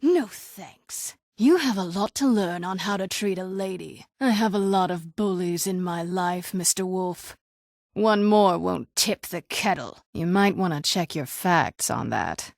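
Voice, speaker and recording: A woman's voice: lowish voice, expressive, a little slow, gravelly, no echo, studio quality. A woman voicing a bitter, defiant witch who calls herself an old hag, sardonic and world-weary, in a dramatic video game performance. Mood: dismissive. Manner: sardonic, world-weary, pleading when threatened.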